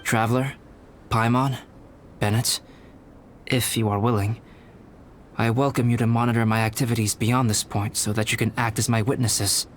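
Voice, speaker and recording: A man playing a calm, analytical young alchemist knight in a video game, speaking formally and precisely. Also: mid-range voice, a little hiss or hum, lightly acted. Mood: composed, resolute. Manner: measured, formal, precise, detached.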